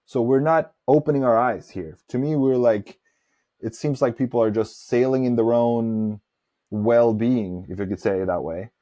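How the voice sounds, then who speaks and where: A man's voice: mid-range voice, moderate pace, dark tone. A man who grew up in Norway, talking reflectively and giving his opinions as host of a casual interview.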